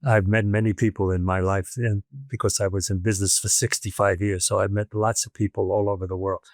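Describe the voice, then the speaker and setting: A man's voice: on the low side, little pitch movement, brisk, no room sound, clean studio sound. An older man hosting an interview show, talking in a rambling storytelling way with many restarts. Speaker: podcast host. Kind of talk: casual interview chat.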